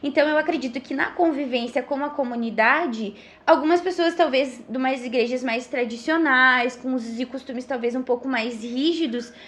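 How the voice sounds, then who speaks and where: A Brazilian woman of thirty speaks in a distinctly high voice. She explains things as a podcast guest in a casual, earnest way, halting now and then and tagging her phrases for agreement. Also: a bit bright.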